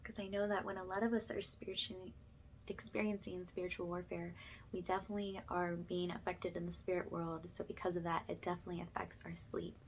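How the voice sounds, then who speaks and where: A woman with a soft, breathy voice that often drops to a whisper, gently leading a soothing spiritual ASMR session in a reassuring way. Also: fairly low pitch, level tone, fairly quick, a little hiss or hum, rough recording. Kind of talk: ASMR, soothing guided energy cleanse.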